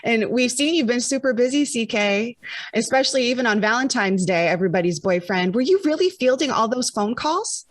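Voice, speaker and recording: American female radio host, lively lowish voice, bubbly, dry studio mic. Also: a little fast, no echo. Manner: bubbly, laughs easily. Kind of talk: upbeat radio interview.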